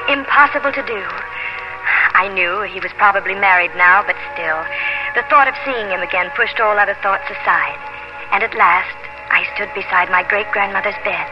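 Actress as a headstrong young colonial heiress, muffled radio. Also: female voice, mid-range voice, lightly acted. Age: young adult. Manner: earnest, theatrical. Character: headstrong, emotional young colonial heiress telling her story. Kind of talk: 1950s radio drama acting and narration. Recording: muffled old radio recording with music.

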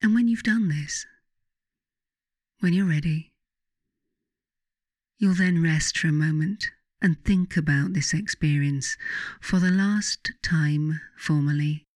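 A narrator guiding a meditation from a script in a soothing, deliberate, instructing manner. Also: medium pitch, no echo, studio quality. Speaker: author narrating a guided meditation.

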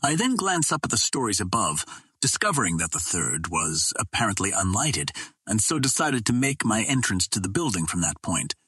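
A man narrating a pulp adventure novel in a measured, dramatic storytelling manner. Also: fairly low pitch, very animated, bright, forceful tone, breathy edge, no room sound. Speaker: audiobook narrator.

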